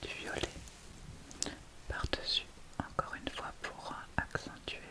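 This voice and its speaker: A woman whispering an ASMR makeup tutorial, talking through each step in a hesitant, improvised way. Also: unhurried.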